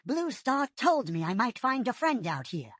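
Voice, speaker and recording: A senior tomcat warrior speaks with calm authority, as a woman reader puts him on. The voice is breathy and swings widely in pitch. Close, dry recording. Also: a little slow, no echo. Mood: firm. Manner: firm and authoritative.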